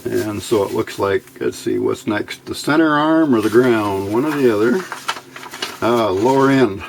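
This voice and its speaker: A man's voice: on the low side, measured pace, creaky, slight background noise, low-quality recording. A man giving a casual hands-on electronics tutorial, unscripted and muttering to himself as he works. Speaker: electronics hobbyist, channel host. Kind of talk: casual hands-on build tutorial, thinking aloud. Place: indoor workbench.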